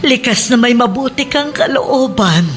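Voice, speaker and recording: A woman's voice: sits high, lively intonation, a little slow, music in the background. A woman playing a kindly, proud middle-aged schoolteacher in a Philippine radio drama, with an emotional, motherly manner and a Filipino accent. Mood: proud, moved. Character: kindly female schoolteacher, warmly proud of former pupil.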